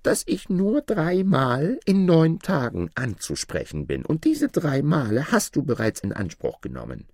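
Guy doing a fussy little storybook ring dwarf, high pitched, singsong and swoopy, very polite. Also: huge pitch swings, measured pace, hardly any pauses, darkish tone, slightly rough, no echo, clean studio sound, exaggerated voice. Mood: formal, refusing.

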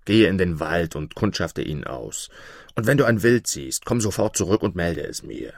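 A surly, arrogant wolf growls out orders in a low, slow grumble, as a narrator puts him on. The voice sits deep, and the recording is dry and close. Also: male voice, expressive, dry recording. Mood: condescending. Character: bad-tempered, arrogant male wolf, gruff master.

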